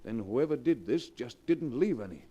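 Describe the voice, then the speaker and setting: An actor playing a commanding, matter-of-fact doctor in a 1940s radio drama. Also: male voice, medium pitch, animated, moderate pace, dark tone, slightly rough, highs cut off. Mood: puzzled, insistent. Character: brisk, commanding male doctor. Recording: vintage 1940s radio broadcast.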